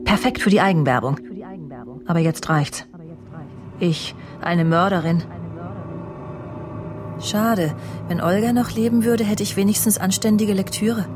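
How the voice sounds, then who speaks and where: A woman's voice: mid-range voice, measured pace, long pauses, close to an everyday voice. An actress playing a vain, self-assured woman journalist in a radio crime drama, confiding and imperious as she justifies herself. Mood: self-satisfied, restless.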